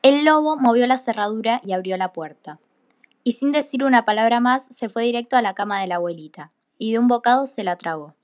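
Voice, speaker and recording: A woman's voice: fairly high pitch, lively intonation, brisk. A woman reading scripted fairy tale narration for a radio play.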